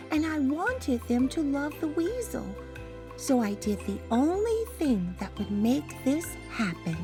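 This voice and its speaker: A woman voicing an imaginative young boy who narrates his adventure in a dramatic, expressive storybook read-aloud. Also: sits high, voice pushed up, heavily acted. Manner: dramatic, expressive, drawn-out delivery.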